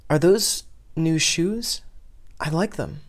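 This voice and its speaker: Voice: mid-range voice, slow pace, pitched above the performer's own voice, natural voice. Lovestruck teenage boy in an audio story, played by the narrator: awkward, hesitant, mumbled lines. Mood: tentative.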